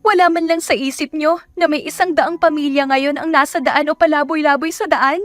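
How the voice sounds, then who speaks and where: Woman acting a high-voiced, indignant young provincial woman. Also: fairly even intonation, brisk, soft background music, natural voice. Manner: pleading, rising into angry outbursts. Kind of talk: dramatic audio story acting.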